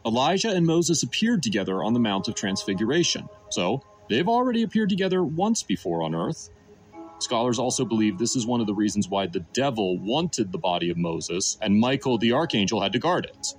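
A man's voice: lowish voice, sing-song, brisk. A man delivering a scripted Bible sermon and reading scripture in an emphatic, declarative way. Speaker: Bible teacher and narrator. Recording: music playing under the voice. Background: music.

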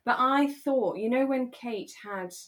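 A young British woman co-hosting a podcast in relaxed casual discussion, thinking aloud and qualifying what she says as she goes. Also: fairly high pitch, measured pace. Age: young adult. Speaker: podcast co-host.

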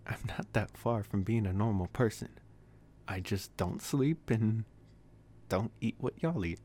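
A man acts out a young male college vampire with a lowish voice. He speaks softly and intimately, as in an ASMR boyfriend roleplay. He is possessive yet gently reassuring, with a teasing streak and halting, sighing phrasing. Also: animated. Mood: mildly defensive, amused. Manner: soft, intimate, hesitant phrasing with sighs and chuckles.

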